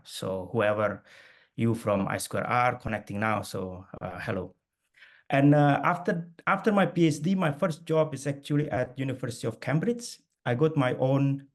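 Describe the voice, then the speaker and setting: A middle-aged man from Indonesia presents over a video call. He talks in a hesitant way, full of fillers and restarts, with a voice of ordinary pitch for a man. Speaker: guest speaker. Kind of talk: webinar presentation.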